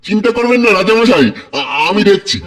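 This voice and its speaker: A man's voice: high voice, animated, fairly quick. A man playing a plucky, good-hearted village weaver in a cartoon folktale, with theatrical, declamatory delivery. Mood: confident, commanding. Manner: theatrical, declamatory, calling out commands. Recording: clear music under the voice.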